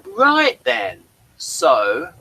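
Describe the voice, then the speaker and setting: A man's voice: high voice, huge pitch swings, very slow, creaky, pushed and bright. A man hosting a casual review chat, rambling and thinking aloud as he goes. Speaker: podcast review host.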